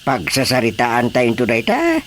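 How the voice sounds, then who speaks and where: A man's voice: mid-range voice, wildly swooping pitch, normal speed, fairly bright tone, slight background noise. An actor with a Filipino accent playing a bossy, exasperated older village chairman in a radio comedy drama. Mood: grumbling. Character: grumbling, bossy male village chairman, a father.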